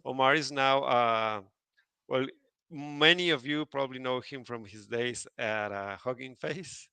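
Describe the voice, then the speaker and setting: Bright, hesitant event host. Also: male voice, medium pitch, unhurried, smooth, dry recording. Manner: hesitant, many fillers and restarts. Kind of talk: conference announcements and speaker introduction.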